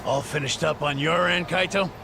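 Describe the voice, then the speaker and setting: A man voicing a blustery, slightly dim yakuza henchman in an English game dub, quick to bicker. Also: a little high, fairly even intonation, gravelly, noisy background. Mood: sheepish. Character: hotheaded gravelly male yakuza henchman, dim.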